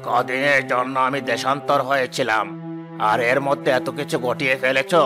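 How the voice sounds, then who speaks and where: A man's voice: medium pitch, fairly even intonation, moderate pace, music in the background, lightly acted. Stern, foreboding holy man acted by a man. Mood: reproachful. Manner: solemn, admonishing. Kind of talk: animated fairy-tale dialogue.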